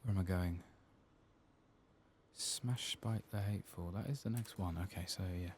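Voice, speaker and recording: A man with a very low voice speaks softly in an ASMR game playthrough. His voice has a lot of gravelly fry, and there is clear noise with some clicking behind him. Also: normal speed. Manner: soft-spoken, sometimes dropping to a whisper. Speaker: gaming channel host. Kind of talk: soft-spoken ASMR game playthrough commentary.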